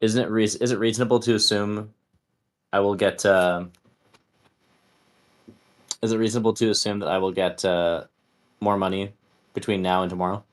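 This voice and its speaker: A man's voice: fairly low pitch, steady tone, moderate pace, long pauses. A man taking part as a player in a tabletop game, casually asking questions.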